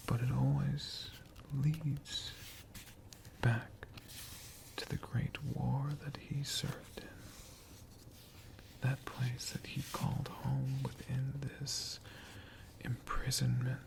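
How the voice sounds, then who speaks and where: A hushed ASMR storyteller speaks in a breathy whisper that crackles with vocal fry. The delivery is extremely slow, with long pauses that give each phrase dramatic weight. The pitch stays fairly level throughout. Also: flat delivery. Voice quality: breathy, mostly unvoiced whisper.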